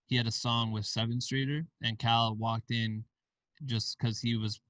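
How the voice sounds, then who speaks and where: A man's voice: mid-range voice, little pitch movement, unhurried, fairly bright tone, no room sound, recorded from a distance. A man from Iowa, a podcast guest, telling stories in a laid-back way with casual slang. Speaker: podcast guest, film director and founder. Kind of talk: casual interview chat.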